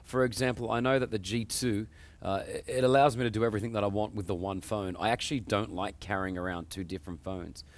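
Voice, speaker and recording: A man's voice: fairly low pitch, fairly even intonation, quick pace, hardly any pauses. A man hosting a promotional interview show, speaking as an emphatic presenter. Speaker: host interviewer.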